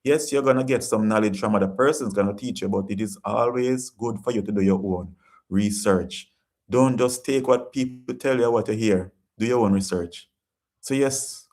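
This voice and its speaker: A man's voice: lowish voice, a little mellow. A Jamaican man hosting a casual live-stream chat, reading viewer comments and talking in an earnest, repetitive, preachy way.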